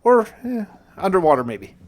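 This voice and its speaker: A man's voice: high voice, sing-song, moderate pace, darkish tone. A man narrating his own survival game playthrough in a casual, folksy, conversational way, like a video host telling a story in the first person. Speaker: gaming video host and player.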